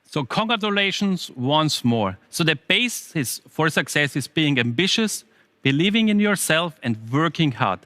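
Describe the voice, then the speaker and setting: A man's voice: a little high, very animated, measured pace, bright, forceful tone, no echo. A man hosting a company's online event, presenting in with frequent hesitations. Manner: scripted, with frequent uh fillers. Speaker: event host.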